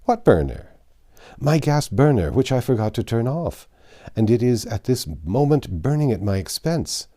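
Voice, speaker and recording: Here is a talkative, trusting French manservant from a storybook, acted out by a man. He is proud and exclaims emphatically, with a very lively swing in pitch and a soft-edged, mellow tone. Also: moderate pace, natural voice. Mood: worried. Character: voluble, proud, trusting male French servant.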